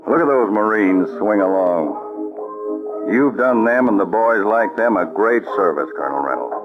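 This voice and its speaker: An actor playing a calm, authoritative male counterspy chief in a 1940s radio drama, measured and commanding. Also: lowish voice, music in the background. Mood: consoling. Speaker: lead agent. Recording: dull old radio broadcast.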